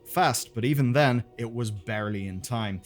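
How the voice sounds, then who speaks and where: A man presenting game lore as dramatic scripted narration, with pointed pauses and colloquial asides. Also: mid-range voice, faint music behind.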